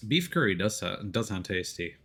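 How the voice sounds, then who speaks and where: Lively man streaming a game. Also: low-pitched, normal speed. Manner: chatty, hesitant mid-thought, playful exclamations. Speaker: video game live streamer. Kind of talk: casual gameplay commentary and chat. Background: faint game music.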